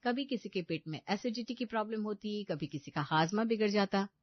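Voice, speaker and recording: A woman's voice: mid-range voice, brisk, no room sound. Slightly muffled, dry woman storyteller. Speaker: story narrator. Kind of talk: scripted storybook narration in a family moral tale.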